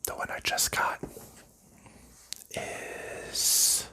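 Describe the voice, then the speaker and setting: A man whispering softly through a calm, slow ASMR unboxing of a board game, explaining each piece as he goes. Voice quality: soft unvoiced whisper.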